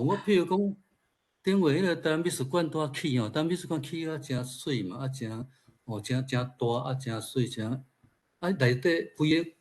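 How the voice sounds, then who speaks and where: A man's voice: medium pitch, darkish tone. A man with a Taiwanese accent giving an informal talk at a forum, sharing observations conversationally and posing rhetorical questions. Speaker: speaker at a literature forum.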